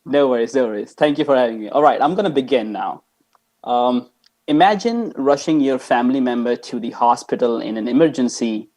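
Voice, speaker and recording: Man from Pakistan, mid pitch, earnest rehearsed founder pitch. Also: darkish tone.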